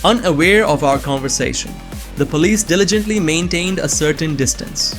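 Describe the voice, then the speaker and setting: A man's voice: on the high side, moderate pace, music in the background. A young man giving earnest, scripted first-person narration of a suspense story. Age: young adult.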